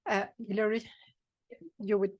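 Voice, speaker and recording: A woman's voice: mid-range voice, level tone, unhurried, no echo, muffled recording. A woman who is not a native English speaker, moderating a discussion with brief unscripted responses. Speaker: session moderator.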